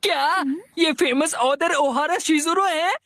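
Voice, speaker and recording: An actor voicing a flamboyant, excitable male salon stylist in a Hindi cartoon dub, gushing in a sing-song way, with music behind. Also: high-pitched, takes it slowly. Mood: starstruck.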